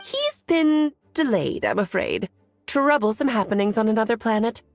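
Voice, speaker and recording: An elegant game sorceress, with a highish, very lively voice. She is whimsical and self-assured, speaking in a breezy, genteel, teasing way over faint music. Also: moderate pace. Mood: wry. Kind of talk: anime game voice acting.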